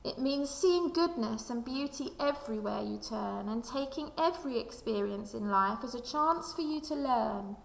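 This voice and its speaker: Echoey recording, woman, creaky voice, primary teacher, storybook read-aloud for children. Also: fairly high pitch, expressive, moderate pace, few pauses. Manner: addresses young listeners directly. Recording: echoey room with long reverb.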